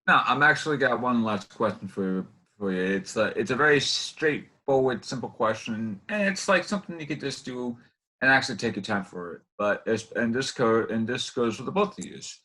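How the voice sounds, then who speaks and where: A young man hosting an informal interview, with halting phrasing that often repeats itself. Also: mid-range voice, pushed and bright. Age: young adult. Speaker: interview show host.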